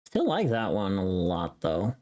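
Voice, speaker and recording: Female streamer, very deep voice with animated pitch movement, casual self-directed chat while working. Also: unhurried. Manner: thinking aloud, self-directed muttering. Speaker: streamer recording voice pack lines.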